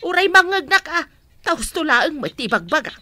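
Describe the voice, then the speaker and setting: A woman's voice: sits high, big pitch swings, normal speed, bright, forceful tone, full character voice. An actress playing a blunt, emphatic middle-aged mother-in-law with a Filipino accent in a radio drama. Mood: indignant.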